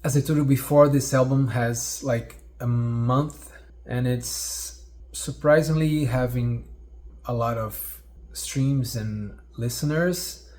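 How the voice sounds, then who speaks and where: A man's voice: medium pitch, steady tone, a little mellow. A Brazilian man in his forties with a Portuguese accent, a musician speaking earnestly to camera from his living room. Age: 40s. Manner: earnest, reflective, pauses with fillers. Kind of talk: direct-to-camera vlog. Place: living room of a home, indoors. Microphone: camera at head-and-shoulders framing.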